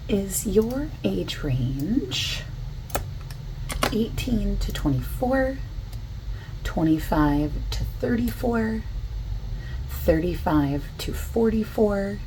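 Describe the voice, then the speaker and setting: A soft-spoken woman doing an ASMR roleplay as a survey interviewer, asking questions in a gentle, casual, reassuring way. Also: mid-range voice. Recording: constant keyboard typing noise. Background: computer keyboard typing and clicking.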